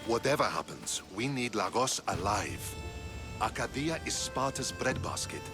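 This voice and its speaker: A pragmatic, level-headed officer from an epic video game. His fairly low voice moves expressively, measured and wry, over loud music. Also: big pitch swings. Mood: cautious, wry. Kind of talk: dramatic game cutscene acting.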